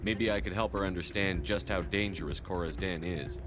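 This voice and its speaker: An actor playing a steady, resolute space navy commander in a video game, terse and businesslike. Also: male voice, low-pitched, normal speed, over music, natural voice. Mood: calm concern.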